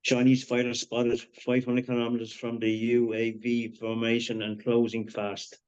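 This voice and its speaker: Bit lo-fi sound, guy playing a navy captain, earnest and confused, mid-pitched, script read. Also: completely flat delivery, normal speed, a little mellow, smooth, close to an everyday voice. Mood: urgent. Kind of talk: live screenplay table read. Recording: slightly dull, lo-fi sound.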